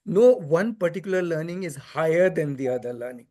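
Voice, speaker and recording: Animated man explaining, joking. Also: fairly high pitch, a little fast. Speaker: community facilitator. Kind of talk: casual discussion.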